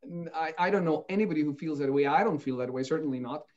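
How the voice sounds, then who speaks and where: Man as an earnest interview guest, restarting sentences, slightly high voice, muffled recording. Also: fast, a little mellow. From Austria. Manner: earnest, searching for words with many restarts. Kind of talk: reflective interview talk. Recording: dull lo-fi sound.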